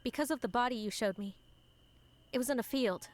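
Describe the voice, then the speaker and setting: A woman's voice: a little high, brisk, lightly acted. A woman playing an anxious, self-doubting but determined young college woman in a comic dub, awkward and questioning herself. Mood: insistent. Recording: long reverb, clear background noise.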